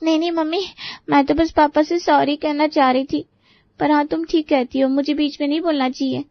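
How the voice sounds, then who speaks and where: A meek young daughter acted by a woman speaks earnestly in a story drama, quick to blame herself. The voice is very high, with a dry, slightly dull recording. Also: fairly even intonation, no room sound. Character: young obedient, self-blaming, naive daughter.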